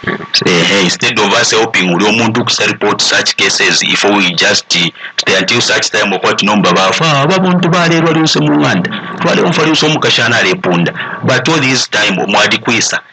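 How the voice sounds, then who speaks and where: A Zambian-accented man with a deep, low voice speaks as a panel guest. He explains things informally and switches between languages, with a crowd audible behind him. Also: expressive, fairly quick, poor audio. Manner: mixes English with a local language.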